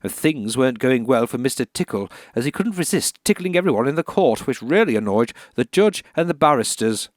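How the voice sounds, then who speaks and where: A man plays an earnest male author with a morbid streak in a radio comedy sketch. His mid-pitched voice moves widely, solemn for reading and faltering when challenged. The sound is very dry. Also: expressive, no room sound, lightly acted. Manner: slow solemn reading, stammering when questioned.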